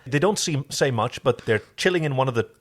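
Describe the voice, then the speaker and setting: A man's voice: mid-range voice, expressive, a little fast. A man hosting a podcast, chatting casually as he recaps television episodes. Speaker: podcast host.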